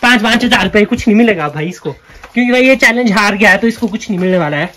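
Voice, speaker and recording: A young man talks excitedly into his phone camera, keeps calling his friend bhai, and jokes through a cave challenge. His voice sits high for a man. He records outdoors on a green hillside and inside a cramped cave. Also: quick pace, slight background noise. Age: young adult. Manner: excited, chatty, says bhai a lot. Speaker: vlogger. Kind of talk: casual challenge vlog. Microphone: phone camera at arm's length.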